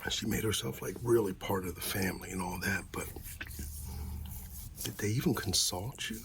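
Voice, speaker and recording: A man doing a casual ASMR roleplay as an easygoing, supportive friend, talking softly in a laid-back buddy way. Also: low voice, normal speed, gravelly, slight background noise. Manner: soft-spoken, half-whispered, laid-back buddy talk.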